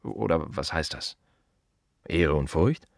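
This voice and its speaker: An actor playing an aging, weary gravedigger who loves silence, musing in short, repeated fragments in a literary radio play monologue. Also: male voice, a bass voice, animated, slow pace, gravelly, dry recording, clean studio sound, full character voice. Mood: insistent, pondering.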